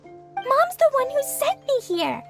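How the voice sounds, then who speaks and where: A woman's voice: very high voice, huge pitch swings, slow, creaky, soft background music, heavily acted. A woman voicing a cheerful, innocent little girl in a video game, bubbly and earnest. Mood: proud, earnest. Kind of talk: video game cutscene voice acting.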